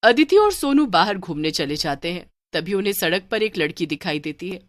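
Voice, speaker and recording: A woman's voice: fairly low pitch, big pitch swings, moderate pace, no echo. A woman reading scripted narration for a children's horror story as the storyteller.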